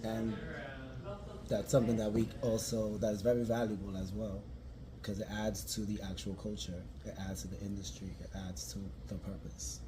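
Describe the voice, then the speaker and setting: A man's voice: on the low side, flat delivery, moderate pace, a crowd in the background, rough recording. A man from New York, a guest dancer giving a reflective interview answer, often adding "like" and "right?". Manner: reflective, peppers speech with "like" and "right?". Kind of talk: casual interview talk. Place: dance social venue. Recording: background noise at a live event.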